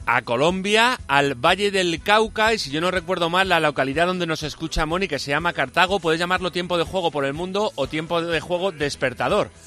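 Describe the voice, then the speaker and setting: A man co-hosting a radio show, announcing the next caller in a playful, drawn-out way. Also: a little high, bright, forceful tone. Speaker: radio co-host. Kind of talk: on-air announcing over music.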